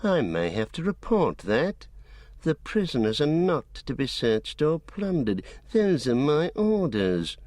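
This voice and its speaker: A man voicing a sly, evil goblin messenger who talks softly with a sneer in an audiobook. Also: on the high side, big pitch swings, measured pace, dry recording, studio quality, pitched above the performer's own voice. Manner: sneering, soft and menacing. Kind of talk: audiobook character voice.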